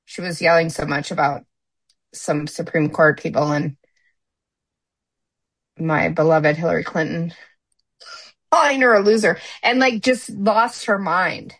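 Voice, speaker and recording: A woman's voice: on the low side, measured pace, long pauses, slightly rough, no room sound, low-quality recording. A middle-aged woman speaking bluntly and emphatically in a candid podcast chat, often restarting her sentences.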